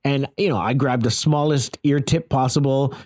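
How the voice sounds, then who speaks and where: A man's voice: mid-range voice, fairly even intonation, moderate pace, a little mellow. A man giving a casual, conversational first-person gear review, repeating phrases for emphasis. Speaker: product reviewer.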